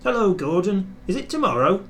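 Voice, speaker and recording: A man voicing an eager, cheeky little steam engine in a children's storybook, in a bright, boyish manner. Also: high voice, very animated, fairly quick, slight background noise, pitched above the performer's own voice.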